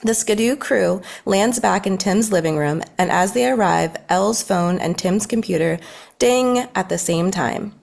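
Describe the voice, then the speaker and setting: A woman narrates a script at a table read, reading out the stage directions. Her voice is heavy with vocal fry and creaks throughout. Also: mid-range voice, measured pace, a little mellow.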